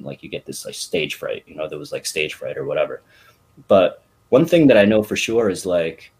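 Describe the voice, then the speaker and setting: A man in his thirties, born in Korea, giving a casual, rambling pep talk. His voice sits fairly low. He speaks emphatically and fills his sentences with "you know" and "bro.". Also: darkish tone. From South Korea. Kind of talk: casual chat.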